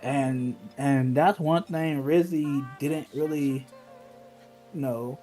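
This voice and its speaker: A man's voice: mid-range voice, slow, warm tone, faint music behind. A man reminiscing in casual, slangy talk, emphatic and often repeating himself.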